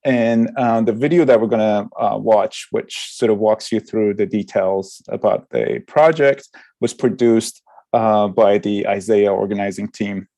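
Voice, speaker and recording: A man's voice: lowish voice, steady tone, unhurried, creaky, mellow. Man from Iran giving an artist talk. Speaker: sound artist and university lecturer.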